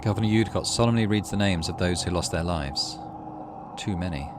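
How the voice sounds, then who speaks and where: A man's voice: deep voice, fairly quick, noisy background. A middle-aged British man hosting a gaming video, reading story text aloud and adding casual commentary.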